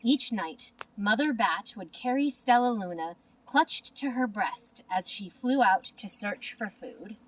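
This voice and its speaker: A woman narrating a children's storybook in an expressive, deliberate manner. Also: mid-range voice, recorded from a distance, low-quality recording. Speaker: storybook reader.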